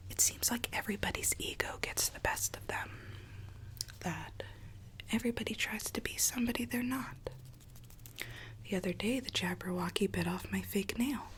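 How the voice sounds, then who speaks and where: A woman voicing a conspiring, troubled Alice in an ASMR roleplay, in a hushed breathy whisper with a coaxing, quietly sinister manner. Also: medium pitch, lightly acted, rough recording. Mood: wistful. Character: whispering mad Alice, conspiring captor seeking her true self.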